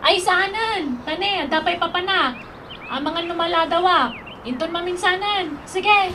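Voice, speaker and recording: An insistent young woman acted out by a woman speaks in an extremely high voice for a comic radio drama. Her delivery is brisk and exclamatory, over clearly audible background noise. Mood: breezy.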